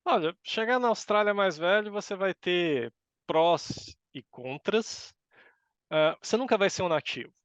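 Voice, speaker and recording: A middle-aged man with a Brazilian Portuguese accent giving thoughtful, halting answers as an interview guest. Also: high voice, expressive, smooth, dry recording, lo-fi sound. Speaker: interview guest, university professor. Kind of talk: conversational interview answers, reflective.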